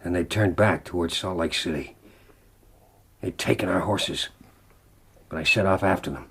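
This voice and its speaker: An actor playing a desperate, haunted frontiersman in a radio drama, grave and weary, with a gravelly, husky voice. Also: deep voice, normal speed, a bit bright, full character voice. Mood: bleak, grieving. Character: haunted, desperate male frontiersman recounting his lost love. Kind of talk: radio drama acting and narration.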